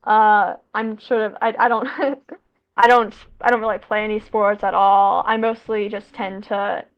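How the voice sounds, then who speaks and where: A woman's voice: medium pitch, little pitch movement, measured pace, lo-fi sound. A teenage American girl chatting over a call, rambling with lots of fillers and self-corrections. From United States. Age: teens. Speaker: student guest. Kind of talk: casual conversation.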